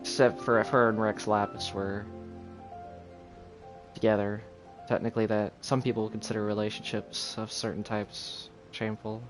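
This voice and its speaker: A man's voice: fairly low pitch, little pitch movement, normal speed. Casual male streamer over game music. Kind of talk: casual live gameplay commentary.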